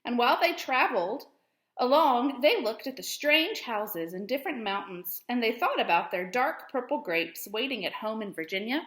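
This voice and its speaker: Female schoolteacher for children's story reading: highish voice, expressive phrasing, roomy echoing sound. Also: normal speed. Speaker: teacher reading aloud to pupils. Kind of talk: storybook read-aloud for children.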